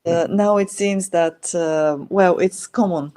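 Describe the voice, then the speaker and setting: Woman, mellow low voice. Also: fairly even intonation. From Bulgaria. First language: Bulgarian. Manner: thoughtful, many filler pauses. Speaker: interviewer. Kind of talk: casual conversation.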